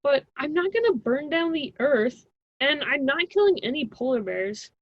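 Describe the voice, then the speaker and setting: Woman playing a flippant high-voiced college student. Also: animated, moderate pace, no room sound. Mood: impatient, flippant. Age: young adult. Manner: flippant, casual, exasperated. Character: impatient, scheming female college student, flippant. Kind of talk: amateur student radio drama acting. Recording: dull, dry lo-fi recording.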